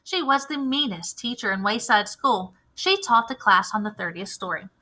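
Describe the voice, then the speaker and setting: A woman's voice: on the high side, expressive, normal speed, sharp tone. A woman reading aloud as a teacher, narrating a children's storybook expressively.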